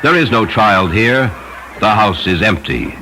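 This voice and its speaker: A man's voice: lowish voice, expressive, measured pace, over music, pitched above the performer's own voice, low-quality recording. Man as commanding, greedy soldier captain. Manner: crying out orders.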